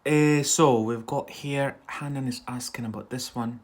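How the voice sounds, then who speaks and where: An English teacher hosting a casual live lesson, speaking haltingly and repeating words as drills while chatting with viewers. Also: mid-range voice, steady tone. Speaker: English teacher, livestream host.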